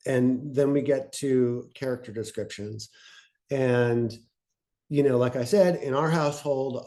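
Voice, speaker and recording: Mellow-voiced man talking casually. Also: medium pitch. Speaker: panelist presenting on fiction podcast pitching. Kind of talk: casual unscripted workshop talk.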